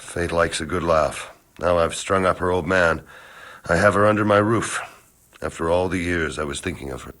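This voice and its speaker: A plain, decent executioner in a game drama. His voice is deep, and he speaks with wistful, lovesick reflection. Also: fairly bright tone. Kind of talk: video game cutscene dialogue.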